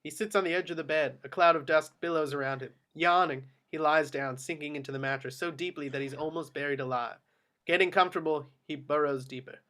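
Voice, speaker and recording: A man's voice: a little high, a bit bright. A man narrating the action of a film script at a table read. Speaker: stage-direction reader.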